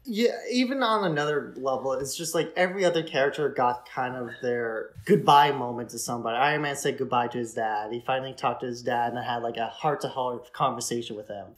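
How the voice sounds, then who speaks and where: Male co-host for a film chat podcast: average pitch, loose conversational phrasing, informal delivery. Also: fairly quick, few pauses. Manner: informal, frequent use of "like". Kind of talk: casual film discussion podcast.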